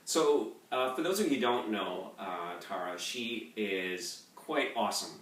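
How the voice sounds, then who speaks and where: A man, a composer, hosting a relaxed interview, chatty and loose with plenty of fillers. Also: fairly low pitch, unhurried. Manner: chatty, loose, lots of "you know" fillers. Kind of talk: casual interview chat. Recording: roomy echo, distant mic, faint noise.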